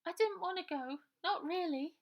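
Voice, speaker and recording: A woman's voice: extremely high pitch, normal speed, voice pushed up. A woman voicing a frightened, clinging eight-year-old girl in a children's storybook reading. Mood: tearful, apologetic.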